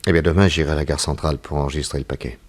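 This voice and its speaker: An actor playing a shrewd, self-assured undercover detective in a 1960s French radio serial, with a brisk, conversational, commanding way of talking. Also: male voice, extremely low pitch, steady tone. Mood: confident, instructing.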